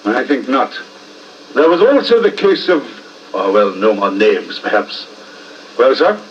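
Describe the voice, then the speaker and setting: An actor playing a smooth, cold, smug Victorian blackmailer with an English accent and mock-courteous manner in a 1950s radio drama. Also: male voice, medium pitch, animated, unhurried, far from the mic, lightly acted. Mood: mocking, menacing. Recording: muffled, hissy 1950s radio recording.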